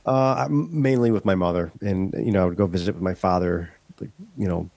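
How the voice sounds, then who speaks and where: A man's voice: deep voice, a little fast, vocal fry, a little mellow, no echo. A middle-aged man from Western New York, stuffed up from a head cold, chatting candidly as a podcast guest with many restarts. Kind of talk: casual interview chat.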